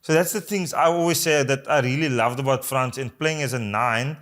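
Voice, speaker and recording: A man's voice: medium pitch, brisk, fairly bright tone. A man from South Africa with a South African accent, a rugby player co-hosting in casual podcast chat.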